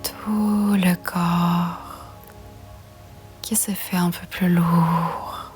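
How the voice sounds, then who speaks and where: Slow, half-whispered woman guiding relaxation. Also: lowish voice, fairly even intonation, soft background music. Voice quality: soft, breathy, half-whispered. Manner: slow, soothing, gentle. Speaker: yoga nidra guide. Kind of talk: guided relaxation meditation.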